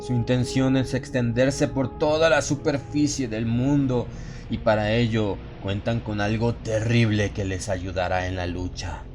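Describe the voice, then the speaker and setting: A man's voice: fairly low pitch, a little mellow, natural voice. A man playing a haunted narrator slipping into exalted, fanatical madness in a solemn, theatrical horror audiobook. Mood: solemn, ominous. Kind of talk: dramatic first-person horror audiobook narration.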